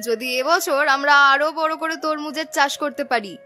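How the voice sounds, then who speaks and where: A woman voicing a greedy, stubborn young farm girl who argues bossily in an animated moral-story cartoon. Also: sits high, moderate pace, faint music behind. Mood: stubborn, ambitious. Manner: bossy, argumentative, scolding.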